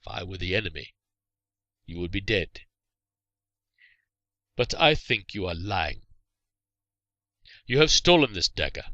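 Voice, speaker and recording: A man voicing a stern but merciful Saracen warrior in a storybook, speaking in a measured, formal, commanding way. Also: sits low, very animated, pushed and bright, no room sound, highs cut off, full character voice.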